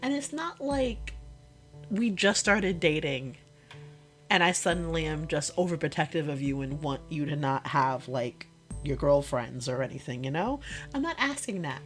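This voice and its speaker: A woman playing a hurt, exasperated girlfriend confronting her boyfriend in an intimate one-sided audio roleplay, speaking emphatically and haltingly and repeating herself. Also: low voice, lively intonation, soft background music. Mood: angry, bitter.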